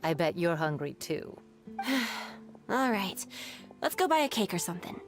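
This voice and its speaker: Reserved game guard. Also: female voice, fairly low pitch, very animated, unhurried, faint music behind. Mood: reluctant, resigned. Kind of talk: video game voice acting.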